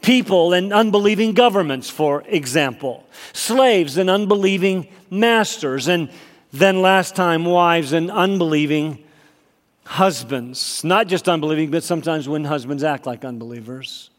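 A male pastor preaching a sermon, slightly nasal from a cold, emphatic and rising in pitch on his key points. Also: lively intonation, unhurried. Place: church sanctuary. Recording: long hall reverb.

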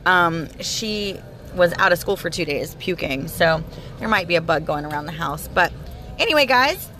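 A hoarse, tired-sounding woman in her thirties chatting casually to listeners while driving her car. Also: lowish voice, lively intonation, normal speed, bright, forceful tone. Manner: chatty, bubbly, addresses listeners as "you guys". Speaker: audio blog host. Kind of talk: casual daily vlog chat. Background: car engine and road noise.

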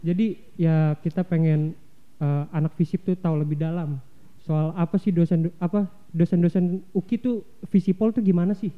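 A woman's voice: on the low side, steady tone, normal speed. A young woman hosting a student podcast, casual, slangy and teasing. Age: young adult. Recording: dull audio with crowd noise.

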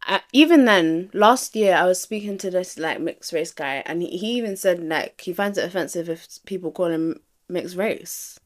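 A young woman co-hosting a casual podcast chat, agreeable and quick to chime in. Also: on the low side. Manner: agreeable, chimes in to finish the other's sentences. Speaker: podcast co-host.

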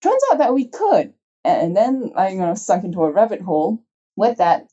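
Husky, mellow woman from Malaysia, slightly dull audio. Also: medium pitch, big pitch swings, moderate pace. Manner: enthusiastic, rambling storytelling. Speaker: guest software developer. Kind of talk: casual conversational talk.

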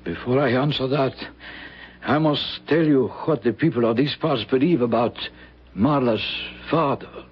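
An actor playing a grave, kindly old village priest who tells dark tales solemnly, in old-time radio drama style. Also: male voice, mid-range voice, slightly rough, full character voice. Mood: grave, measured. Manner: solemn, deliberate storytelling with dramatic pauses. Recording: dull vintage radio broadcast recording.

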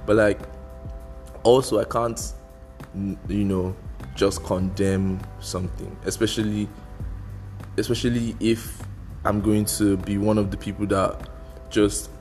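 A young man with a Nigerian accent, Igbo by first language, talks through a casual solo podcast. His voice sits on the low side, and his delivery is loose and meandering, mixing slang and pidgin. Faint music plays softly behind him. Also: flat delivery, unhurried, pauses often. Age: young adult. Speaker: podcast host.

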